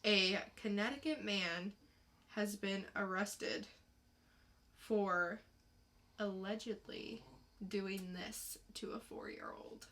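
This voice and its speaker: A woman co-hosts a casual podcast in a bright, forceful voice of average pitch. She takes her time as she tells a story. Her style is conversational banter. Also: slow, pauses often. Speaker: podcast co-host.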